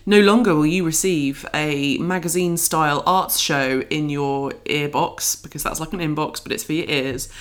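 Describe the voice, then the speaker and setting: A woman's voice: low-pitched, moderate pace, few pauses. A woman hosting an arts podcast in casual chat, with a playful, wryly joking manner.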